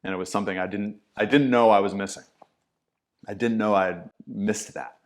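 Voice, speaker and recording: A man's voice: fairly low pitch, brisk. A middle-aged man, an acting teacher, chatting in a podcast interview and acting out what people said in vivid stories. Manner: animated storyteller, acts out quotes with swearing. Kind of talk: casual conversational interview.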